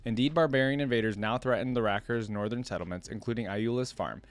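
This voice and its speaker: A man's voice: medium pitch, quick pace. A man hosting a game lore reaction video, reading text aloud and adding informal remarks with little tag questions. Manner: informal, often checks in with tags like "right?" and "yeah?".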